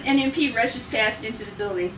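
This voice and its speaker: A woman's voice: a little high, expressive, moderate pace. A woman reading script directions at a table read, with casual, giggly asides. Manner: giggly, informal. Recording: noisy echoey room, distant mic.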